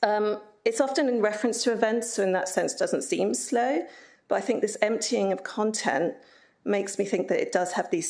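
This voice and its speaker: A woman's voice: mid-range voice, creaky, a little mellow. A woman speaker on a panel, giving an analytical academic presentation with frequent ums. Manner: analytical, explaining, with filler ums.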